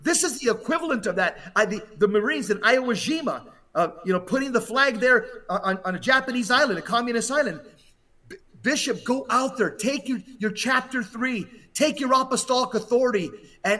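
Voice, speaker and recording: A man's voice: very high voice, bright, forceful tone. A man giving impassioned religious exhortation as a podcast commentator, urgent and emphatic, often repeating phrases for weight.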